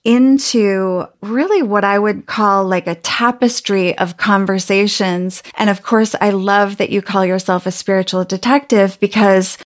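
A woman hosting a podcast interview, earnest and unhurried, with frequent fillers. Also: mid-range voice, steady tone. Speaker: podcast host.

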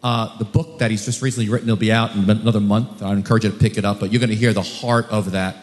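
A man serves as the church's pastor, welcoming a guest preacher. He speaks in a somewhat low voice and a folksy, halting manner with fillers. Long church reverb trails behind his words. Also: little pitch movement, a little mellow. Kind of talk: church announcement. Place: church sanctuary.

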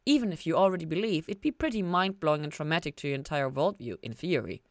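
A woman voicing a supportive female therapist among friends, articulate and gently prompting, with dry humor, in a story reading. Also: sits low, expressive, quick pace, no echo. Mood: gentle, reassuring.

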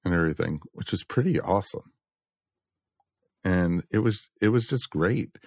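An enthusiastic man in a casual group discussion. His voice sits a little low but moves around in a lively, animated way. It is recorded dry and close. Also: normal speed, husky, no echo. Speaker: podcast panelist. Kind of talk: casual chat.

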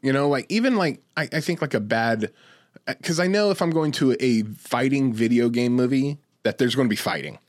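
A man's voice: medium pitch, brisk, no room sound, studio quality. A man co-hosting a casual podcast, talking enthusiastically in a rambling way and often repeating himself. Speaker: podcast co-host. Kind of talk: casual podcast chat about movies.

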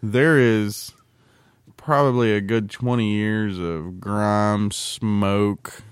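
A man's voice: fairly low pitch, fairly even intonation, extremely slow, smooth, dry recording, studio quality. A man hosting a podcast, bantering casually in a teasing, sardonic way. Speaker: podcast host.

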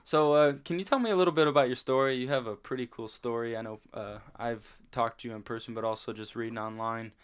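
A man hosting a faith podcast, moving from an upbeat scripted intro to relaxed questions full of small fillers. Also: mid-range voice, fast talker, few pauses, smooth, no echo. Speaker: podcast host and pastor. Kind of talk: interview podcast.

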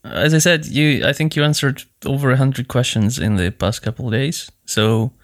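A man with a mid-pitched voice talks casually as a podcast co-host. He moves in a halting way, often repeating a word or restarting a phrase as he explains things. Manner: halting, with repeated false starts. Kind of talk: casual unscripted podcast chat.

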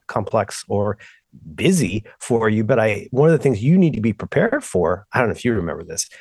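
A middle-aged man co-hosting a podcast, telling long digressive stories and breaking off to restart his sentences. His pitch swings widely as he talks. The sound is close and dry, with no room echo. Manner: rambling, self-interrupting, animated storytelling. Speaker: podcast co-host. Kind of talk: casual chat.

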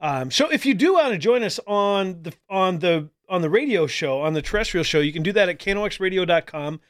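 A man hosting a talk show, chatting casually in a rambling way, full of ums and restarts. His voice sits a little high for a man. The studio sound is dry and close. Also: animated, brisk, no room sound. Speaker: podcast and radio show host. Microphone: studio microphone.

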